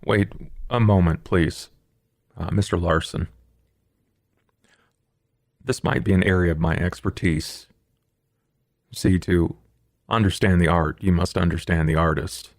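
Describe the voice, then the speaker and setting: A man voicing an eerie, composed vampire artist in a tabletop game, with slow, formal, deliberate phrasing. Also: low voice, vocal fry, no room sound, studio quality. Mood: measured, intent. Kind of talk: tabletop roleplay in character.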